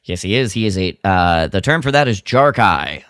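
A man's voice: lowish voice, animated, normal speed, fairly bright tone, clean tone, no room sound, clean studio sound. A man co-hosting a podcast, recapping a radio drama in casual chat, rambling with lots of fillers and restarts. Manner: rambling, full of fillers and restarts, quotes characters mockingly. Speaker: podcast co-host.

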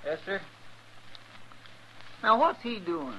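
Folksy, high-voiced sidekick. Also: male voice, lively intonation, takes it slowly, sharp tone, exaggerated voice. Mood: curious. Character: folksy, nosy, deferential marshal's sidekick. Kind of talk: old-time radio western acting. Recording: muffled, noisy old radio recording.

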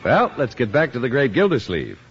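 A man announcing a 1950s radio show with smooth, genial scripted delivery. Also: mid-range voice, wildly swooping pitch, a little fast, over loud music. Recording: muffled old radio broadcast.

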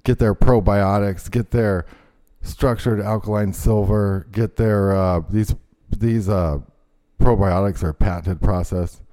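A man's voice: on the low side, steady tone, a little slow, dark tone. A man hosting a podcast, ranting in heated, profane political chat and reading an ad near the end. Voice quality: profane, rambling. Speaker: podcast host.

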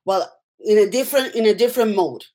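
A woman's voice: medium pitch, quick pace, dark tone. A middle-aged woman with a Puerto Rican accent and Spanish as her first language, telling personal stories as an interview guest and often checking in with "okay?". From Puerto Rico. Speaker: interview guest, healthcare worker.

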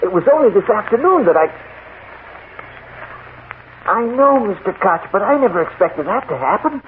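Menacing killer, slightly high voice, curt, guarded, forties radio crime drama, tinny scratchy recording. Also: male voice, very animated, moderate pace. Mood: agitated, protesting. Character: tense, menacing male killer with a gun. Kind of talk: 1940s radio drama acting.

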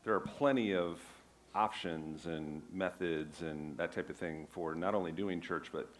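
Low-voiced pastor guy, laid back, jokes about himself. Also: unhurried. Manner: casual, self-deprecating. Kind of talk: church announcements and interview.

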